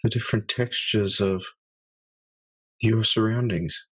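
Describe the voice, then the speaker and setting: A man guides listeners through a mindfulness meditation. His voice sits fairly low with a breathy, husky grain, and he speaks slowly and softly, leaving long pauses between phrases. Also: fairly even intonation. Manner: slow, soft-spoken, with long pauses.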